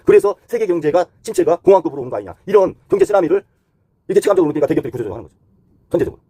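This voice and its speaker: A man's voice: on the high side, very animated, racing, mellow, husky, no echo. A man giving unscripted explanatory commentary as a host, talking conversationally point by point and sliding between polite and blunt, casual phrasing.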